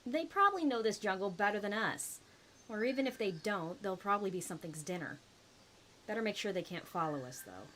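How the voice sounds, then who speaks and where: A woman's voice: medium pitch, expressive, brisk, a bit bright, a little hiss or hum, close to an everyday voice. A woman playing a whiny, greedy, superstitious huntress in an audio roleplay, complaining and petulant. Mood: callous, urgent.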